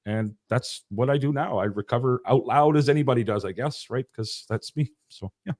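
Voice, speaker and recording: A man speaking as a guest on a video call, talking about himself in a relaxed, rambling, self-deprecating way. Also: medium pitch, normal speed, no echo, studio quality. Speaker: podcaster guest.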